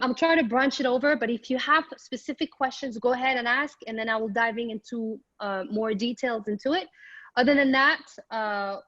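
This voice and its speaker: A woman's voice: on the high side, fairly bright tone, no room sound, muffled recording. A middle-aged woman from Morocco giving an upbeat, chatty business presentation remotely, with frequent ums and restarts. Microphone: remote call microphone.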